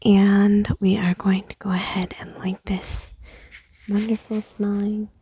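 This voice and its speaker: A young woman whispering a guided meditation outdoors at night into a small microphone, soft and unhurried with fillers. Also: medium pitch, flat delivery, a little hiss or hum. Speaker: meditation host. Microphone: small handheld microphone.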